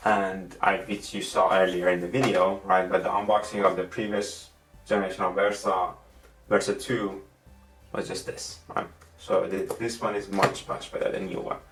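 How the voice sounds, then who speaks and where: A man with a Persian accent, a non-native English speaker, giving a casual gadget review in a halting way that often repeats words and restarts sentences. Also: deep voice, flat delivery, fairly bright tone. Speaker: tech reviewer. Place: room at a desk, indoors. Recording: roomy, distant mic with faint music.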